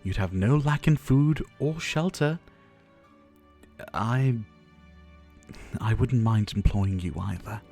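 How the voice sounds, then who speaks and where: Male actor as devoted, ailing pharmacist for ASMR roleplay; lowish register, soft, courteous, wistfully theatrical delivery over background music. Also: slow, pauses often. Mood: warm, playful. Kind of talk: ASMR roleplay addressed to the listener.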